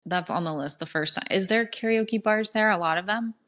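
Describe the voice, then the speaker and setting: A woman's voice: mid-range voice, a little fast, fairly bright tone. A woman in her thirties co-hosting a podcast, chatting casually and rambling with lots of fillers. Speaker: podcast co-host. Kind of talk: casual chat.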